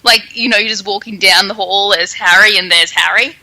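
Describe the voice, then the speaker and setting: A woman podcast host chatting casually and speculating in an animated, giggly way. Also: medium pitch, a little fast, no room sound, poor audio. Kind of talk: casual chat, theorizing about a story.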